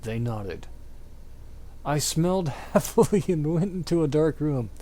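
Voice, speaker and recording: A man's voice: on the high side, animated, a little slow, mellow. A man giving a mock-dramatic amateur reading of fiction, with a theatrical, exaggerated delivery. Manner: theatrical, exaggerated delivery, often breaking into giggles. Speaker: amateur reader of fan fiction.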